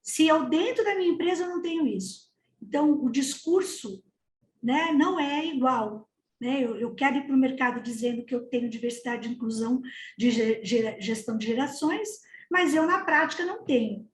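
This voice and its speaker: A woman's voice: high-pitched, normal speed. A woman speaking earnestly on a panel, asking rhetorical questions. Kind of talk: webinar panel discussion. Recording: echoey room.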